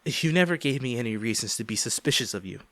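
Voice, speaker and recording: A man acting a guilt-ridden ex-boyfriend pleading for forgiveness in an intimate audio roleplay, halting and emotional. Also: mid-range voice, lively intonation, fairly bright tone, dry recording. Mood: regretful, earnest. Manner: halting, emotional, sighing, repeats himself.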